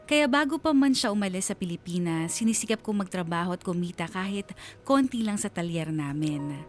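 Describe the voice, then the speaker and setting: An anxious, wounded husband tells his story in a dramatic letter narration. Soft music plays underneath this Filipino radio piece. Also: high voice, lively intonation, a little fast. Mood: worried, heavy-hearted. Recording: music bed behind voice.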